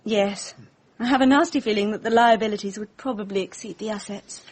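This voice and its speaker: A woman's voice: medium pitch, brisk, a little hiss or hum, natural voice. An actress playing a flippant, breezy young upper-class Englishwoman with a British accent, wry and offhand, in a radio drama. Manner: wry, offhand, drawling society slang.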